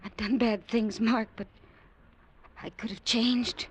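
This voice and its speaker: An actress playing a desperate, pleading femme fatale in an old radio drama, breathless and melodramatic. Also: female voice, on the high side, fairly even intonation, slow pace. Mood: weak, pleading. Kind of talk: 1940s radio drama acting. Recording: noisy old radio recording with clicks.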